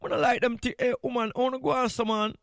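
A man's voice: extremely high pitch, level tone, fast, vocal fry, a bit bright, dry recording, studio quality. A man with a Jamaican accent hosting a morning radio show, chatting casually in a playful, candid way and repeating phrases for emphasis. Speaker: radio host.

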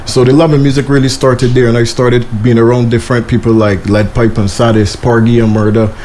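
A young man from Guyana with a Bajan accent, a soca artist giving a casual, laid-back radio interview full of shout-outs. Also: medium pitch, level tone, brisk, a little hiss or hum.

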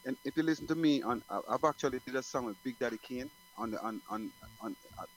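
A man's voice: mid-range voice, fast talker, a little hiss or hum, low-quality recording. A middle-aged man from Jamaica, a guest singer talking casually in an interview, rambling with many restarts.

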